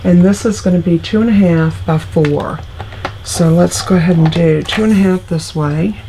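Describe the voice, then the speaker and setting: A middle-aged woman with a congested, stuffy voice walks through card-making in a chatty, homey way. Her voice sits low and mellow. A faint hiss stays under it. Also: normal speed, rough recording. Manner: chatty, homey, talks through each step. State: congested, recovering from illness. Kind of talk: casual craft tutorial.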